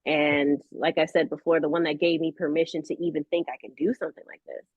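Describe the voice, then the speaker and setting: A woman's voice: deep voice, little pitch movement, fast talker, husky, no room sound. A woman talking casually and expressively as an interview guest, a voice actress telling her own stories. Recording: dull, lo-fi audio.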